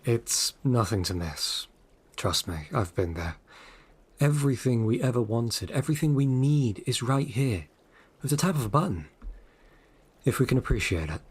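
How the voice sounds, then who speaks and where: An actor playing a calm, assured, persuasive guide in a sci-fi audio drama, speaking in a low-key, coaxing way. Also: male voice, normal speed, husky. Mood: gently persuasive. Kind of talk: full-cast audio drama acting.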